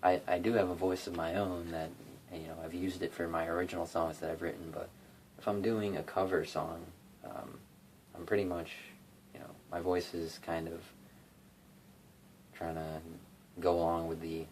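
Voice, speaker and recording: Young guy talking low and rambly in his bedroom, lots of um and like, phone mic, bit of hiss. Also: flat delivery, normal speed, darkish tone. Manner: rambling, many fillers and restarts. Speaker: YouTube cover singer and guitarist. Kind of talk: casual rambling vlog talk.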